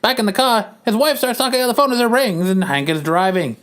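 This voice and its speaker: A man's voice: high voice, lively intonation, brisk, a bit bright, clean tone. A man narrating stage directions aloud for an amateur comic reading on a podcast.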